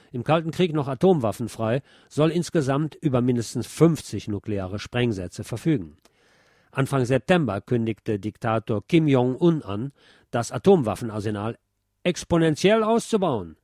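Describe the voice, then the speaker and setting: A man's voice: mid-range voice, a little mellow, no room sound, clean studio sound. A man reading a scripted political commentary as a presenter.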